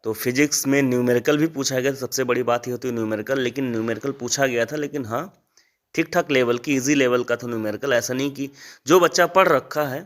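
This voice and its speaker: A man's voice: mid-range voice, fairly quick. A man informally briefing students as a teacher, repeating points as he explains. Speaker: exam-prep teacher and channel host. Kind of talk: informal exam analysis addressed to students.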